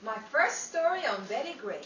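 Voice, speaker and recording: An actress as an earnest, wide-eyed small-town newspaperwoman in an old-time radio comedy. Her voice is high and swings about with puzzled questions, heard from a distance in a noisy room. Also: sing-song, moderate pace. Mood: naive, chatty. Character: naive, earnest small-town young woman reporter. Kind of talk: 1930s radio comedy acting. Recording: roomy, distant, noisy amateur recording.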